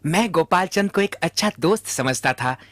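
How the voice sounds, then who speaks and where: A man's voice: a little high, lively intonation, moderate pace, lightly acted. A man playing a deferential, ingratiating trader in a Hindi cartoon. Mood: indignant, pleading. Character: smooth-talking, deferential male trader protesting his innocence.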